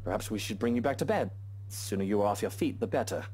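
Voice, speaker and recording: A man playing a sour, whiny but caring wealthy husband in a visual novel game, with a husky, grumbling voice. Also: lowish voice, lively intonation, quick pace, a little mellow. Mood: grudging concern. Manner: sulky, grumbling.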